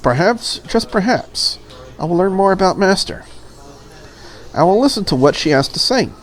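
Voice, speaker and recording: Woman playing a polite, curious maid, lively pitch. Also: lightly acted. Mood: curious, musing. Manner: courteous, accommodating, measured. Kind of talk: visual novel character read aloud.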